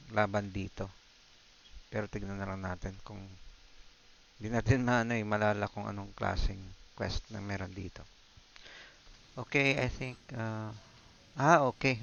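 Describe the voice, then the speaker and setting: A man's voice: on the low side, vocal fry, a bit bright, lo-fi sound, slight background noise. A man with a Filipino accent giving casual live gameplay commentary, muttering to himself as he mixes Tagalog and English. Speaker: video game streamer. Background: video game music and effects.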